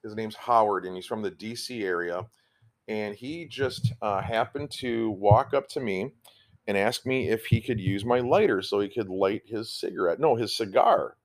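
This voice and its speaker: A man's voice: lowish voice, normal speed. A middle-aged man hosting a casual podcast from home, rambling along with frequent ums and restarts. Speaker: podcast host.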